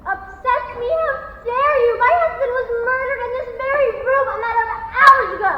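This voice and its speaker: A woman's voice: extremely high pitch, brisk. A teenage girl on stage playing a fragile, histrionic bereaved fiancée who is easily outraged, in a melodramatic farce. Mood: outraged, tearful. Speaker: student actor. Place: school theatre stage at rehearsal. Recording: echoey theatre, muffled, faint noise.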